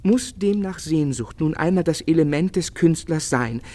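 An actor playing a world-weary, melancholy artist in a literary radio drama, speaking eloquently and earnestly with theatrical phrasing. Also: male voice, a little high, animated, moderate pace, mellow, lightly acted. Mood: impassioned pleading.

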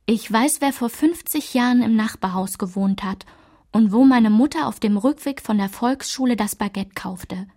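A woman performing a narrator who recalls her small-town French childhood in a literary radio play, in a measured, enumerating delivery. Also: on the high side, darkish tone, natural voice. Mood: measured, reflective.

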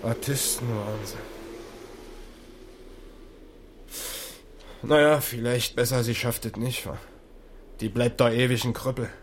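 An actor playing a shaken middle-aged long-haul trucker in a radio crime drama, speaking colloquial with a tinge, agitated and exclamatory. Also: male voice, mid-range voice, steady tone, moderate pace, close to an everyday voice. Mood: subdued, worried. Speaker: truck driver. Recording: noisy 1980s radio play recording.

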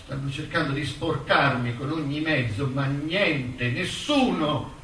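An actor playing a proud, articulate intellectual on trial in a radio play, declaiming with precise irony. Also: male voice, a little high, unhurried, sharp tone, slight background noise. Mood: firm, tender. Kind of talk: documentary radio theatre monologue. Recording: roomy, distant stage sound with music.

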